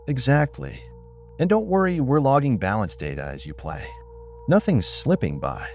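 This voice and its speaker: A man's voice: medium pitch, very animated, moderate pace, a little mellow. A man voicing a teasing, casual female lab technician in an audiobook. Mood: casual, reassuring. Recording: music behind the voice.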